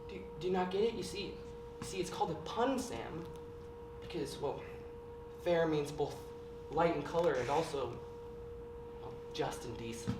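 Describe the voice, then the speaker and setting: A woman playing an arrogant, petulant teenage boy on stage, sneering and flaring into shouts. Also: low voice, moderate pace, long pauses, natural voice. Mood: mocking. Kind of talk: stage play acting. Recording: roomy stage recording from a distance, faint hiss.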